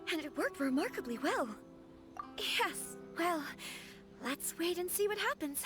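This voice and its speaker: A woman voicing a young noblewoman in a game, polite and anxious but resolute, speaking in formal, measured phrases. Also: very high-pitched, lively intonation, music in the background. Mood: relieved, hopeful. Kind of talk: dramatic game voice acting.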